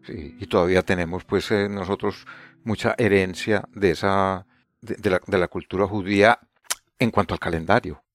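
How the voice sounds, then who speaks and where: Paisa colombian guy, kinda low voice, relaxed and halting, soft music faintly behind. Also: no echo. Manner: easygoing, halting, frequent restarts. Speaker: astronomy communicator, co-host. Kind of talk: casual conversational podcast.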